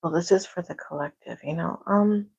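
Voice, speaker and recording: A soft-spoken woman reading tarot on a casual livestream at home, talking quietly to herself. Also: lowish voice, moderate pace, vocal fry, breathy edge, dry recording, highs cut off. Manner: talks to herself, quiet and musing. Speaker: tarot reader. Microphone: clip-on microphone.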